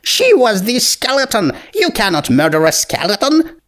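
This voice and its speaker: Flustered young pony princess, played by a man, high voice, swooping and pleading, storybook comedy. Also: huge pitch swings, normal speed, a bit bright, exaggerated voice. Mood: indignant. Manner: pleading, indignant. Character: young female pony princess, flustered, protesting her innocence.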